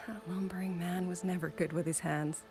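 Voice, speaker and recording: An actress playing a gentle, wistful widowed musician turned soldier in a game, speaking in a soft, measured, reflective way. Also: female voice, lowish voice, steady tone, noisy background, lightly acted. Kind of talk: scripted game dialogue.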